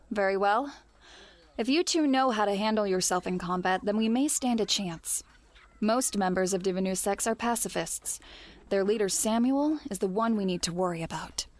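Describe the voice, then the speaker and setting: A woman playing a resolute lady knight in an audio drama, with a formal, serious and measured delivery. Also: medium pitch, close to an everyday voice. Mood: grave.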